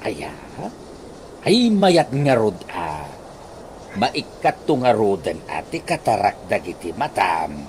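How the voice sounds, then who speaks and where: A man acting a sympathetic, curious henpecked husband in a radio comedy drama, with drawn-out phrasing. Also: on the low side, sing-song, a little hiss or hum.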